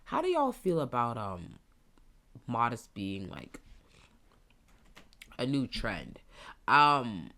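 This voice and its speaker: A young woman in her twenties with a stuffy nose, hosting a casual solo podcast and thinking out loud as she rambles. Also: a bass voice, wildly swooping pitch, slow, long pauses. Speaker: podcast host.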